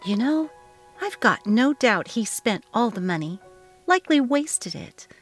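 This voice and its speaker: A woman's voice: fairly high pitch, very animated, measured pace, faint music behind, voice pushed up. Woman acting emotional, anxious middle-aged mother. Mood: excited, conflicted. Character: worried, resentful middle-aged mother Elle.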